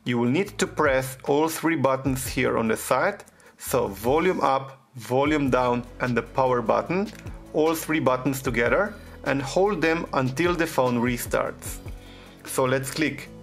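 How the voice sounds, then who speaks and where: Male how-to host at a desk, mid-range gravelly voice, walks through steps with hesitant fillers. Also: mid-range voice, unhurried, faint music behind. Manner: plain, patient instructions with frequent hesitations. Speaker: phone repair tutorial host. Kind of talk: step-by-step how-to narration.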